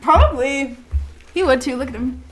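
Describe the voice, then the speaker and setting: A woman's voice: sits high, moderate pace. A young adult woman co-hosting a podcast, chatting casually with a friend in a teasing, reactive way. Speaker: podcast co-host. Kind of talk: casual chat between friends. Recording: echoey room with clear background noise.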